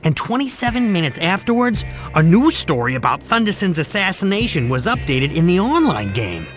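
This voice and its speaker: A man playing an excitable, chatty fixer and comic-book buff who rambles through explanations in an animated game cutscene. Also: high voice, brisk, faint music behind. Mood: eager, gossipy.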